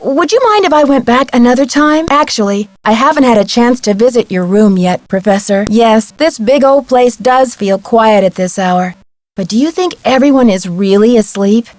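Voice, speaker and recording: Young girl detective voiced by a woman, game dialogue, highish voice, polite and full of questions, dry close sound. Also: animated, moderate pace, a little mellow, dry recording, natural voice. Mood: curious, persuasive. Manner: courteous, inquisitive, clearly enunciated. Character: polite, inquisitive young female amateur detective. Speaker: video game voice actor.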